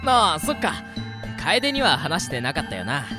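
A woman's voice: sits low, big pitch swings, moderate pace, music in the background. A woman playing a cheerfully foolish, girl-crazy teenage schoolboy in a radio drama, with breezy, boastful slang. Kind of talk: Japanese amateur radio drama acting.

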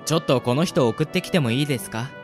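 A man's voice: medium pitch, fast, soft background music, lightly acted. A man acting a polite, easygoing young florist's son in a radio drama. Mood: helpful. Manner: polite, easygoing, soft-mannered speech. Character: polite, cheerful young son minding a flower shop.

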